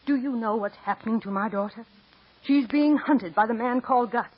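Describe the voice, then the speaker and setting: A woman's voice: a little high, fairly quick, breathy edge. An actress playing a determined, stern mother in a 1940s radio drama. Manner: stern, urgent. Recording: dull old radio recording.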